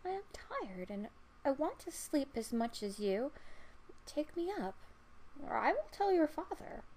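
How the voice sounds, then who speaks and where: A woman voicing an insistent male storybook frog who pleads and demands in a slow chant. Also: sits high, sing-song, darkish tone, pitched above the performer's own voice, full character voice.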